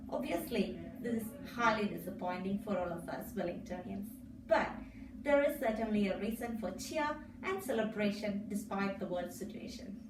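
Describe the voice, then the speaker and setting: A woman librarian from Kerala, India, whose first language is Malayalam, speaking in a friendly, hesitant way with fillers. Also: mid-range voice. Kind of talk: casual interview. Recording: echoey room, mic far off, noisy.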